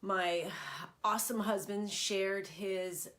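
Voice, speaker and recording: A woman's voice: mid-range voice, fairly even intonation, takes it slowly, a bit bright. A middle-aged woman, nasal and congested from a cold, chatting casually with many ums during a home craft live stream, recorded on a tablet camera. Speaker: stamping craft demonstrator. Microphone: phone or tablet camera.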